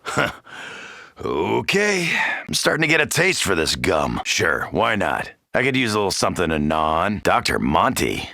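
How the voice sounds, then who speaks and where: An American man playing a gruff, cocky, wisecracking macho soldier in a zombie video game, with a gravelly voice. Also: low-pitched, huge pitch swings, normal speed, pushed and bright, no echo, clean studio sound, exaggerated voice. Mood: gruff, casual. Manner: brash, swaggering, wisecracking. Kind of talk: video game character voice lines.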